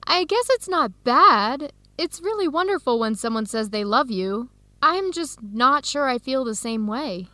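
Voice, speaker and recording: Earnest young woman student, higher-pitched voice. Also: lively intonation, measured pace, sharp tone, no echo, full character voice. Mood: conflicted. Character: disheartened 22-year-old Ethiopian-American woman student, earnest, torn. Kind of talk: radio drama acting, clear dialogue for language learners.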